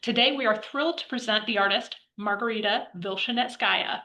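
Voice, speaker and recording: A woman's voice: a little high, fairly quick, sharp tone. A woman hosting a gallery program, reading a polite, upbeat welcome on a video call. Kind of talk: scripted welcome introduction.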